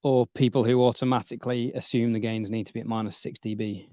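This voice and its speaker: A man's voice: medium pitch, little pitch movement, quick pace, dark tone, no room sound. A man hosts a casual livestream from his home studio, chatting and joking with lots of fillers. His voice sits in a middle range, and the close studio microphone leaves it dry, with no room around it. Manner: chatty, jokey, trailing fillers. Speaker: livestream host. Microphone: studio dynamic microphone.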